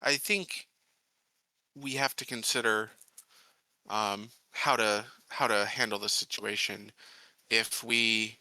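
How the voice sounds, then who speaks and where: Very bright, forceful-toned man, tentative phrasing. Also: lowish voice, steady tone, takes it slowly, pauses often, no room sound, poor audio. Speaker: tabletop roleplaying game participant. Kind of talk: improvised in-character tabletop dialogue.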